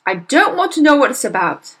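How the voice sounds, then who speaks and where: A woman's voice: high-pitched, very animated, drawn-out words, a little mellow, pitched above the performer's own voice, exaggerated voice. A woman voicing a bad-tempered bullying father who barks and sneers. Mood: scornful. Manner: snapping, barking, sneering.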